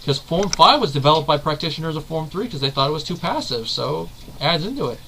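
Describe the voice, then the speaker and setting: A man's voice: a little high, animated, fast talker, vocal fry, pushed and bright, slight background noise. A man hosting a video, giving casual, rambling fan commentary and correcting himself as he goes. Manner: rambling, leans on the filler "basically"; analytical, self-correcting. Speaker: video host.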